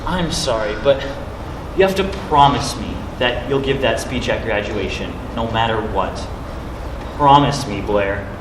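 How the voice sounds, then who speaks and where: A man's voice: medium pitch, measured pace, distant mic, background noise, close to an everyday voice. A young man playing a devoted, insistent teenage jock in a school play, recorded on an echoey stage.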